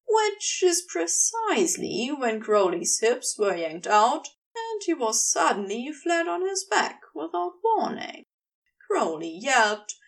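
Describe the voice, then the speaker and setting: A woman reading fiction aloud as an expressive audiobook-style narration. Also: high-pitched, far from the mic. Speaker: podfic reader.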